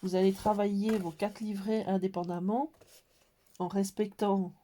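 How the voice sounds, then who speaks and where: Woman with a cold showing a craft album, lots of pauses and ums, mellow voice. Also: mid-range voice, little pitch movement, slight background noise. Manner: hesitant, many pauses and fillers. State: has a cold. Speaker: scrapbooking tutorial maker. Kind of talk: casual craft tutorial.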